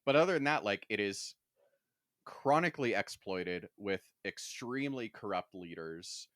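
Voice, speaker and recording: A man's voice: lowish voice, animated, moderate pace, a bit bright, no room sound, studio quality. A man co-hosting a podcast in casual pop-culture discussion, with a wry manner and casual swearing. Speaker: podcast co-host.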